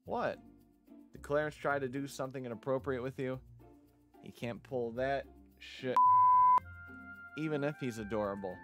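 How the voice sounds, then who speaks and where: A man's voice: medium pitch, moderate pace, pauses often, natural voice. A man voicing a blunt, concerned school friend in a visual novel, with a questioning manner. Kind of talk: visual novel character read-aloud. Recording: music behind the voice.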